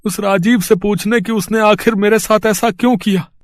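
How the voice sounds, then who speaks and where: A man's voice: high voice, fairly even intonation, normal speed, slight background noise. A man voicing a humble, earnest poor food seller in a storybook tale, polite and hesitant. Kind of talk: acted storybook dialogue.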